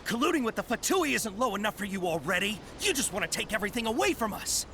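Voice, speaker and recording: A man voicing an angry rebel soldier in a video game, shouting hostile threats. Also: high voice, sing-song, fast talker, noisy background. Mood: furious.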